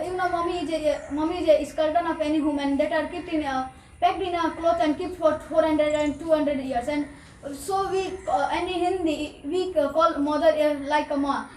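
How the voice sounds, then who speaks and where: A woman's voice: high-pitched, a little mellow. A young woman with an Indian English accent arguing a classroom debate rebuttal, insistent and full of fillers. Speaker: student debater. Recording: echoey room, distant mic, faint noise.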